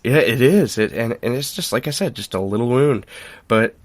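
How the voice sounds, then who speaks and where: A man hosting a podcast in casual chat, telling things in a halting, informal way with frequent chuckles. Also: mid-range voice, lively intonation, dry recording. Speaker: podcast host.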